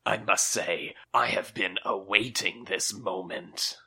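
A man's voice: fairly low pitch, slow, gravelly, sharp tone, husky, voice pushed down, heavily acted. A man voicing a huge, mocking male boogeyman with a theatrical, whispery menace in a comedy story. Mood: gloating. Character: hulking male boogeyman from a closet portal, gloating.

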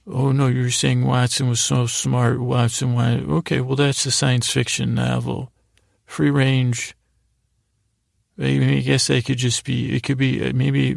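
A man hosting a bedtime podcast in a meandering, halting monologue, trailing off mid-thought with gently silly humor. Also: mid-range voice, vocal fry, dry recording, studio quality. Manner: silly, mildly absurd humor. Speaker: podcast host. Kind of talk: rambling bedtime storytelling monologue.

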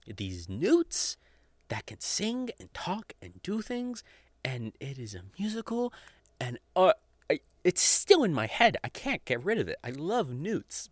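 Low-voiced man chatting on a podcast. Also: a bit bright, no echo. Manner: gushing, giggly enthusiasm. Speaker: podcast host. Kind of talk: casual solo podcast chat, recommending shows.

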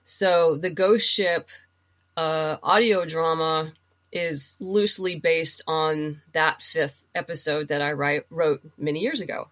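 A woman's voice: low-pitched, fairly even intonation, slow, fairly bright tone. A middle-aged woman chatting and explaining things casually as a guest in an interview. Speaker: podcast guest, writer and producer.

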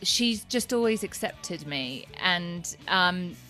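Bright-voiced Englishwoman, low-pitched and chatty. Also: expressive, slow pace, soft background music, low-quality recording. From East Anglia, England. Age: 50s. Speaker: interview guest, sober coach. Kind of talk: casual chat.

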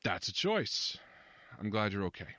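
A probing, slightly impatient confidant played by a man in an audio drama. His low-pitched voice swings expressively through measured, dry questions, and the sound is close with no room echo. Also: big pitch swings, bright, forceful tone, exaggerated voice. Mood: concerned. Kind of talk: naturalistic audio drama acting.

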